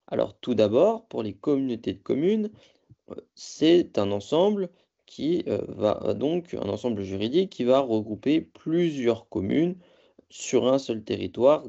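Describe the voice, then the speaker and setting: A man presenting a training lecture from slides, explaining in a halting, semi-improvised way and often correcting himself. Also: mid-range voice, gravelly, warm tone, muffled recording. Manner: halting, self-correcting delivery. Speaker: presenter of a training course on local government.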